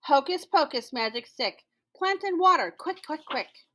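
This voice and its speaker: Folktale spider, male, played by a woman reading aloud, high voice, lazy schemer who boasts and gives emphatic orders. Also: lively intonation, unhurried, fairly bright tone. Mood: commanding. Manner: bossy and dramatic, with emphatic commands.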